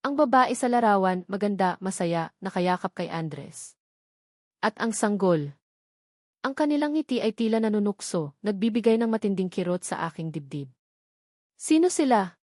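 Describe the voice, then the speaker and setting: A woman narrates a scripted first-person love story. Her pitch sits in the middle and her delivery is measured and earnest. The sound is dry, with hardly any room in it. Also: lively intonation, a little mellow, dry recording. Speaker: narrator of a romance audio drama.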